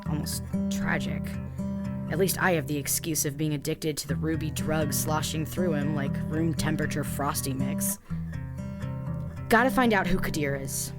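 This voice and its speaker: A woman's voice: low-pitched, moderate pace, lightly acted. A woman playing a captive young female spy in an audio drama monologue, bitter, wry and foul-mouthed, sighing between phrases. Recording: music behind the voice.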